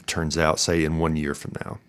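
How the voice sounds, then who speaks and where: Deep-voiced man hosting an interview. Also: level tone, normal speed, fairly bright tone. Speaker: podcast host, interviewer. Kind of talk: casual interview questioning.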